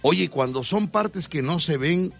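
A man's voice: a little high, animated, a little slow, vocal fry. Mexican male radio host, playful and teasing, light music bed under him. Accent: Mexican Spanish. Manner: teasing and jokey, often laughing mid-sentence. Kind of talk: joking live radio banter. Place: radio studio.

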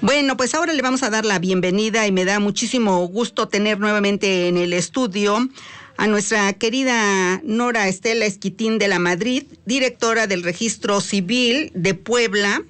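A woman from Mexico with a Mexican accent hosts a talk radio program. She runs the show in a chatty way, drawing out words and filling gaps with "eh". Her voice sits at an ordinary, middle pitch for a woman. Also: a bit bright. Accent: Mexican Spanish. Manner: chatty, drawn-out words, frequent "eh" fillers. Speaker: radio host.

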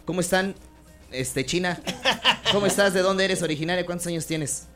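Chatty radio host. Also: male voice, sits high, music in the background. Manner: chatty, jokey, asks quick questions. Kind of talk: casual radio interview.

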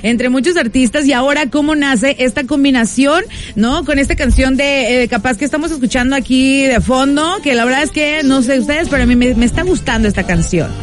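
A high-pitched Mexican woman hosts a radio show from a studio booth, bubbly and quick-talking. Faint music plays under her voice. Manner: upbeat, quick, laughs often, shouts "epa". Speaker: radio host. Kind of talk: lively radio interview.

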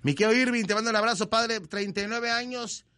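A man's voice: high-pitched, quick pace, no echo, clean studio sound. A man with a Mexican accent hosting a radio show, reading listener messages and teasing them with jokes. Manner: joking, teasing, lively banter. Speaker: radio host. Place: radio studio.

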